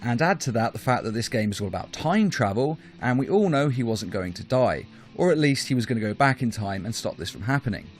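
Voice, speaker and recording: A man's voice: medium pitch, expressive, a little fast, hardly any pauses. A man hosting a countdown video, reading scripted commentary in an emphatic, explanatory way. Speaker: host of a top-five countdown video. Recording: music behind the voice.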